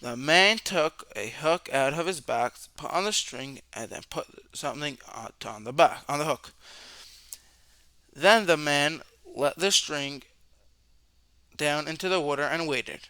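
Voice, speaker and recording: A man reading a children's storybook aloud as a storyteller, with expressive narration, dramatic questions and drawn-out words for young listeners. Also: on the high side, clear, resonant tone, dry recording.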